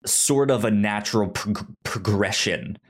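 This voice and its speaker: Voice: low voice, expressive, unhurried. A podcast co-host chatting casually about films, often stammering and repeating words in a hesitant way. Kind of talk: casual film discussion.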